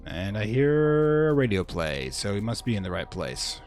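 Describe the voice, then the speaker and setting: A man's voice: fairly low pitch, sing-song, normal speed, darkish tone, faint music behind. A man hosting a let's play with casual commentary and wry, easygoing asides. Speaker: gaming host.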